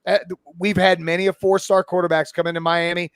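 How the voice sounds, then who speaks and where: A man's voice: high voice, level tone, brisk, smooth, dry recording, studio quality. A man in his forties talking sports casually as a pundit, blunt and opinionated, swearing freely. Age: 40s. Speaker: college football pundit and podcast guest.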